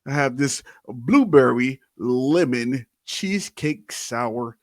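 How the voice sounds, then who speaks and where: A man's voice: mid-range voice, lively intonation, takes it slowly, no room sound, studio quality. A man chatting casually as a podcast co-host, informal and slangy with the odd swear word. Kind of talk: casual banter and beer tasting chat.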